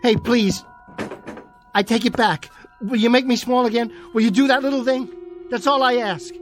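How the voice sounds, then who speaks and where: A man's voice: extremely high pitch, fairly even intonation, normal speed, soft background music. An actor playing a desperate male jockey turned giant, pleading hysterically in a radio drama. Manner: pleading, repetitive, increasingly hysterical.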